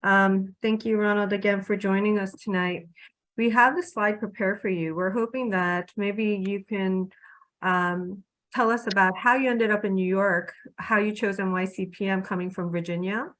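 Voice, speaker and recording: A woman's voice: medium pitch, steady tone, moderate pace, fairly bright tone, low-quality recording. A woman moderating an online information session over a video call, who introduces the next speaker politely and keeps her turns short.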